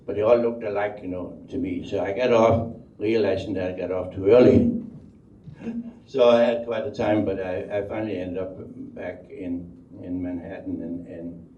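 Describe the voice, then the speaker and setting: An older man from Denmark with a Danish accent tells his life story unscripted, halting and repeating words as he rambles along. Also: deep voice, fairly even intonation, mellow. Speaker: speaker telling life story. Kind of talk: unscripted oral history storytelling. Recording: dull, roomy, distant microphone, faint noise.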